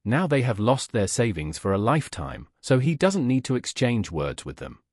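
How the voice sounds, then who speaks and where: A man reading a story aloud as scripted narration, with a husky voice. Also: medium pitch, very animated, normal speed, a little mellow, no echo, studio quality. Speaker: audiobook narrator.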